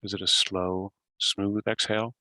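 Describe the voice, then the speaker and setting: A man leading a guided meditation as host, giving simple instructions in short phrases with long pauses. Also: lowish voice, flat delivery, pushed and bright, no room sound.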